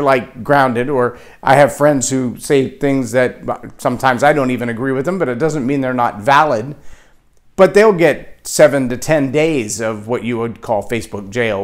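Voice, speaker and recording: Animated Texan man giving a leadership talk. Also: mid-range voice. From Texas. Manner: animated, acts out quoted voices. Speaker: leadership speaker. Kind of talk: motivational talk to camera.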